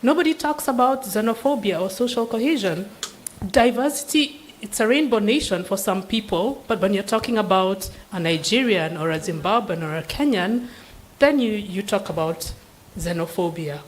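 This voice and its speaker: A woman's voice: mid-range voice, lively intonation, normal speed, slight background noise, rough recording. A woman speaking as a seminar panelist, giving an unscripted answer in an earnest, questioning way with frequent restarts. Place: seminar room.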